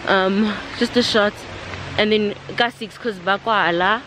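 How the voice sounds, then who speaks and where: A young South African woman in her twenties, chatting casually to her camera held at arm's length, bubbly and quick to laugh. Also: medium pitch, background noise. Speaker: vlogger. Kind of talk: casual vlog chat. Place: car, then outdoor resort garden. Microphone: handheld camera at arm's length.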